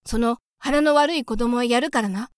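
A woman doing a gruff young cellist guy, blunt, loud, raised voice, dry close sound, storybook. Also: on the high side, normal speed, no echo, natural voice. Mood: brusque. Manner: blunt, rough-spoken.

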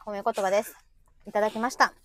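A woman's voice: medium pitch, fairly even intonation, fast, dry recording. A woman with a Kansai Japanese accent chatting casually as co-host, rambling and teasing in giggly comic banter. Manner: giggly, rambling storyteller who teases. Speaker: co-host of a live audio show.

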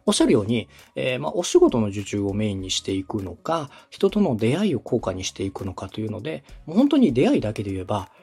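A man hosts and coaches in a conversational podcast. His voice is husky and mid-pitched, with an animated melody. He explains each point politely and methodically, with faint music behind him. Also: fast talker, few pauses. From Osaka, Japan. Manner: polite, instructive. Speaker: podcast host and coach, radio director. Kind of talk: consulting conversation, explaining step by step.

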